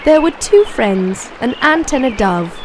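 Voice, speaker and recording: A woman's voice: fairly high pitch, very animated, measured pace, background noise. A woman reading a scripted fable for children as a storyteller.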